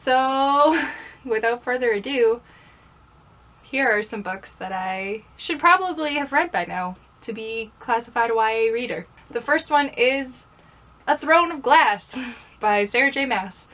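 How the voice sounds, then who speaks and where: Young female vlogger, higher-pitched voice, casual and chatty, pokes fun at herself. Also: lively intonation, measured pace, a bit bright. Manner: self-deprecating, playful, rhetorical questions to herself. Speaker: book vlogger. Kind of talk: casual chatty vlog to camera. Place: bedroom.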